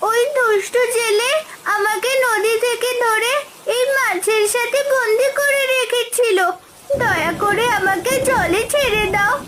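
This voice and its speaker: A woman's voice: extremely high pitch, a little slow, fairly bright tone, a little hiss or hum. A woman voicing a distressed little magic fish in a children's cartoon, pleading and plaintive. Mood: tearful pleading.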